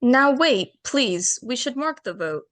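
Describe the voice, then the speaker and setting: A woman voicing a brisk, procedural female bear who keeps order at an animal council in a radio drama table read. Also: fairly high pitch, very animated, fairly bright tone, dry recording, exaggerated voice. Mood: officious.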